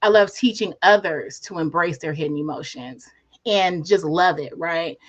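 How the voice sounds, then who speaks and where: Soothing woman presenter, lowish voice. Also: a bit bright, muffled recording. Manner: soothing, repeated "right?" tags. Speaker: workshop presenter. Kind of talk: online workshop presentation.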